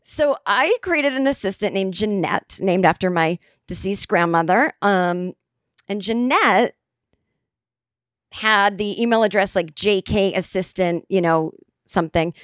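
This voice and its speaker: A raspy woman in her forties tells a story on her podcast in a loose, profane, animated way. Her pitch sits in an ordinary middle range. The close mic keeps her dry with no room sound. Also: unhurried, no echo. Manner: profane, animated, acts out quotes. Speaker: comedian and podcast host. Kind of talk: casual comedic storytelling.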